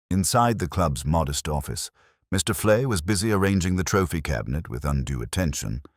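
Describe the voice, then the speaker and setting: A man reading scripted mystery fiction as an audiobook narrator, with a measured, literary and dryly wry delivery. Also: a bass voice, breathy edge, no room sound, studio quality.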